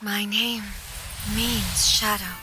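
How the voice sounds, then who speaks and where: A woman playing a solemn, regal goddess in a video game, speaking in a measured, formal and grave manner. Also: medium pitch, steady tone, loud music behind the voice, lightly acted. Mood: solemn, sorrowful. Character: solemn, regal female deity ruler devoted to eternity. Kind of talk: English video game voice acting.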